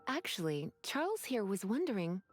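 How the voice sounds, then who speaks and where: A woman's voice: medium pitch, very animated, moderate pace, faint music behind, natural voice. A woman voicing an elegant, teasing lady in an anime-style game, coy and genteel with a languid drawl.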